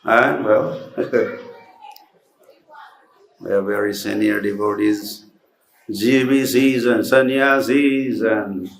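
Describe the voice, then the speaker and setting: This is a man giving a welcome at the start of a lecture on an old archival recording. He speaks slowly and deliberately, pausing often between names. The sound is roomy, with a faint hiss in the background. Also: medium pitch, creaky. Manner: slow, deliberate welcome with pauses.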